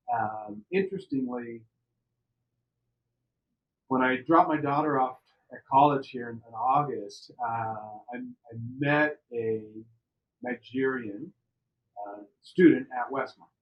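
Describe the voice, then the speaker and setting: A middle-aged man from the United States telling personal stories on a podcast in an animated, conversational way, repeating words for emphasis. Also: medium pitch, highs cut off. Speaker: podcast interview participant. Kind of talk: personal storytelling.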